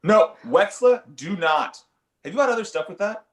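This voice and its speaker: A man playing an awkward young father and amateur comedian in an animated comedy table read, hesitant and stammering. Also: high voice, moderate pace. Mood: exasperated. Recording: roomy echo.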